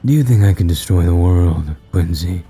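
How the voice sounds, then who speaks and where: A man voicing a menacing shadow demon in a storybook, coldly mocking, speaking slowly with deliberate, bored emphasis. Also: low-pitched, warm tone, husky, soft background music, exaggerated voice. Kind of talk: audio storybook character voice.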